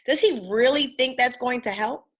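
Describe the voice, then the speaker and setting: A woman voicing a scheming, boastful male storybook wolf with a menacing, drawn-out delivery. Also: fairly high pitch, a bit bright.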